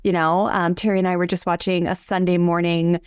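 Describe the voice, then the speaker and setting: A woman's voice: lowish voice, flat delivery, brisk, clear, resonant tone, no echo, clean studio sound. A woman from Southern California hosting a conversation, effusive and chatty, with plenty of fillers. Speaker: podcast host. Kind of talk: casual interview chat.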